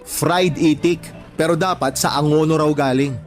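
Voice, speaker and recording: A man's voice: a little high, fairly even intonation, moderate pace, faint music behind, natural voice. An actor playing a married father, a sympathetic friend chatting matter-of-factly in a radio drama. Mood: amused recounting. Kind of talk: Tagalog radio drama dialogue.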